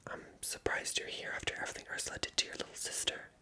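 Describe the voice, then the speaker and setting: Storybook witch played by an ASMR reader: woman, gracious, guarded, formal, bright breathy whisper throughout. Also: fast. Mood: suspicious.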